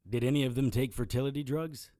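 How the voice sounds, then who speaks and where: A man's voice: medium pitch, fast, dark tone, no echo, close to an everyday voice. An actor playing a wisecracking private investigator who narrates his own story in a radio drama. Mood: sardonic. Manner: dry, sardonic wisecracks. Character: Harry Strange, wisecracking male private investigator. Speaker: narrator and lead character. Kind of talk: hardboiled first-person narration.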